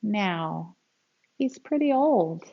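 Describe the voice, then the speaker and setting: A woman reading a picture book aloud to young children in an expressive storytelling style, with simple explanatory asides. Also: mid-range voice, drawn-out words, gravelly, slightly rough, lo-fi sound.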